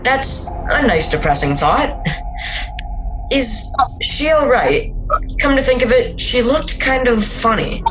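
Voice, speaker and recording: A woman's voice: on the high side, sing-song, slow, over music, rough recording. A woman playing an easygoing, teasing suburban husband and father, with a casual, folksy manner, in an amateur radio drama. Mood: casual, puzzled.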